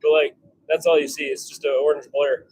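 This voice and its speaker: A man chatting casually on a live stream, thinking out loud as he works in his workshop. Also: fairly high pitch, flat delivery, fairly quick, heavy background noise. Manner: chatty, thinking out loud while working at the forge. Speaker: livestream host, hobby blacksmith. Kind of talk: casual live-stream chat while working. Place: home forge workshop.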